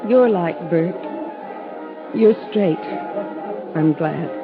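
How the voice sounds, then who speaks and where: An actress playing an anxious, grieving young woman in a 1950s radio drama, pleading in halting phrases. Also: on the low side, music in the background. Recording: muffled vintage radio broadcast.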